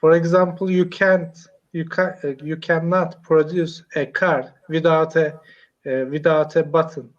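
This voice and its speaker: A man with a Turkish accent speaks English slowly and haltingly, with a somewhat high voice. He fills gaps with "uh" as he searches for words. The call audio is dull and muffled. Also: level tone. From Turkey. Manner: hesitant, many fillers, searching for words. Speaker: English learner, computer engineer. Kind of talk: casual online English lesson chat. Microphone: video call.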